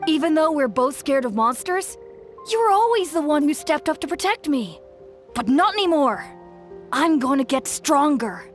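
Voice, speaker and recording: Very high, plucky little girl. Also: sing-song, normal speed. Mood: tender, determined. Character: small loyal young girl, plucky younger companion. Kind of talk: anime game voice acting. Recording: music behind the voice.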